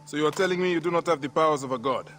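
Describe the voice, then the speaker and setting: An actor playing a determined, vengeful warrior in a video game, blunt and intense in manner. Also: male voice, fairly high pitch, very fast, fairly bright tone, soft background music, lightly acted. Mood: wry. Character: determined, vengeful male warrior of ancient Egypt. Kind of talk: dramatic video game acting.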